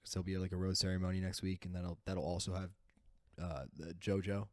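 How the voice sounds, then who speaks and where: A man's voice: low-pitched, level tone, a little fast, no room sound, clean studio sound. A man in his twenties co-hosting a podcast, rambling and self-deprecating with many restarts. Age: 20s. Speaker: podcast co-host. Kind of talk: casual banter.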